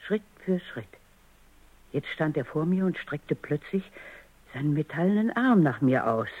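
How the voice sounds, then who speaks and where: A serious woman scientist narrating a radio play. Her voice is husky and her telling precise and suspenseful, heard through a narrow, phone-like band. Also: low voice, heavily acted. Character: serious woman scientist recounting a frightening encounter. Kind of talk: first-person radio drama narration.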